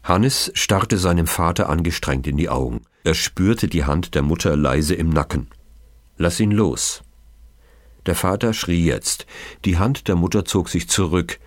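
A man's voice: deep voice, normal speed, no echo, clean studio sound. A man reading a children's novel aloud as dramatic storybook narration. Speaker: audiobook reader.

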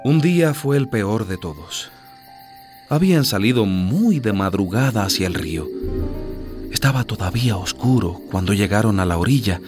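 A man's voice: on the low side, animated, normal speed, over music. A man narrating a radio drama as a scripted story, with a grave, dramatic delivery.

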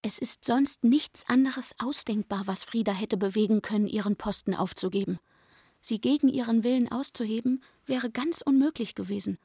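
An actress playing an aggrieved young barmaid in a literary radio drama, speaking in deliberate, drawn-out phrases and bitter about her rival. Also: fairly high pitch, vocal fry, dark tone, husky, no echo. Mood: insistent, resentful. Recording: studio voice over prominent music. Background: music.

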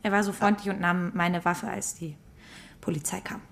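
A woman's voice: lowish voice, fairly even intonation, normal speed, gravelly, fairly bright tone. An actress in her thirties playing a cool-hearted, scheming librarian in a radio drama, blunt and offhand. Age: 30s.

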